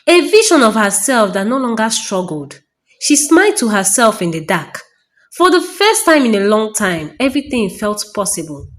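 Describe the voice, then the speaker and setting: A woman narrating a scripted dramatic story in measured short phrases with deliberate pauses. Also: a little high.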